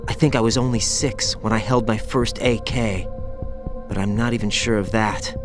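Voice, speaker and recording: A man voicing a young male soldier haunted by his past as a child soldier, in a video game cutscene with music under it, talking quietly and guardedly. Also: lowish voice, fairly even intonation, moderate pace, natural voice. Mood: brooding. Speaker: game character.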